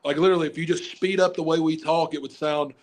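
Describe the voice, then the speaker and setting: A Southern American man talks as a podcast guest in a slow, drawn-out, easygoing drawl. His voice sits a little higher than most men's, and he comes across as chatty and relaxed. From southern United States. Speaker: guest wrestler. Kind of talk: casual interview chat.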